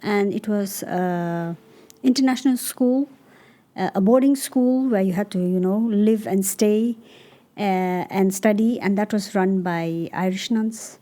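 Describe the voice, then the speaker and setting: A middle-aged woman from Nepal, a cross-cultural coach answering interview questions thoughtfully, pausing often with "uh". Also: medium pitch, dark tone.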